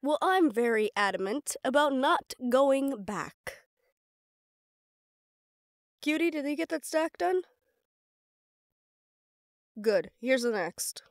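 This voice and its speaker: A woman's voice: high-pitched, animated, slow, pauses often, dry recording, clean studio sound, exaggerated voice. A woman playing a stubborn, sarcastic young goddess in an audio roleplay drama, teasing and defiant.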